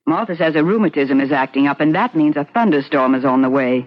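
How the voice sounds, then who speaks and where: A man's voice: fairly high pitch, animated, fast, very noisy, close to an everyday voice. An actor playing a fussy, scolding household companion in an old radio drama, fretful and mothering. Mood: fussy, fretting. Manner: fretful, nagging. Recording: muffled old radio broadcast.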